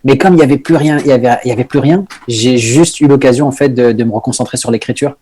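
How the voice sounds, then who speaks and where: A young man from northern France chats casually as a podcast guest. He talks in a hesitant, halting way, full of fillers and restarts, at an ordinary middle pitch. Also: dark tone, slight background noise. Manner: hesitant, many fillers and restarts, laughs often. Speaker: comedian guest.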